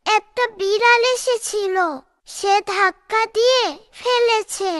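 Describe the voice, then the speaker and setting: Someone doing a little cartoon boy, super high and bright, slow careful talk. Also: fairly even intonation, no echo, studio quality, heavily acted. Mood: nervous. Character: little boy, playful, frightened of scolding, then sorry. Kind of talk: children's cartoon voice acting.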